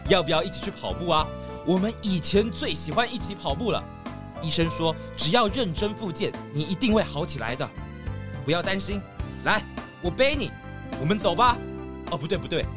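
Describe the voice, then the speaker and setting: A woman's voice: on the low side, very animated, normal speed, over music. A woman voicing an encouraging, forgiving young animal athlete in a children's audio drama.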